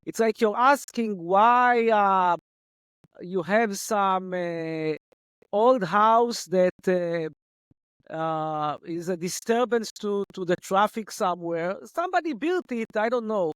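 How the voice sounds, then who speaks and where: Man, guest on a talk show, high voice, dry close sound, argues like a lecturer. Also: a little slow, fairly bright tone, no echo. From Israel. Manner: argumentative, lecturing, answers imagined objections. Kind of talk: analytical debate.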